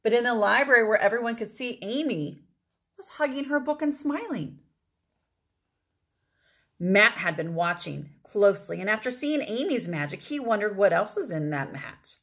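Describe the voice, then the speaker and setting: A middle-aged woman reading a children's picture book aloud expressively, with deliberate phrasing and emphatic pauses. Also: mid-range voice, expressive, fairly bright tone. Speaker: read-aloud storyteller.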